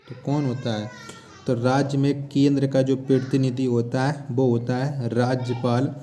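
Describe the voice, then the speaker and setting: A man's voice: mid-range voice, warm tone. A man teaching an exam quiz lesson, posing questions and then repeating the answers.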